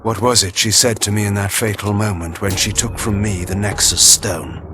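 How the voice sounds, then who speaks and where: Man voicing a low, imperious vampire conqueror. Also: steady tone, background noise, natural voice. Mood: cold reflection. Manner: imperious, eloquent, deliberate diction. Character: proud male vampire conqueror, cold, ruthless, imperious. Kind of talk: theatrical game acting and monologue. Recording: loud background music.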